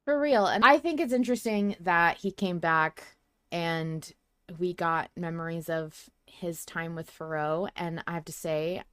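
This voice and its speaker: Low-pitched woman, smooth and casual. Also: measured pace, a bit bright, dry recording. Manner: chatty, candid, swears casually. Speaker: podcast host. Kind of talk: casual podcast discussion.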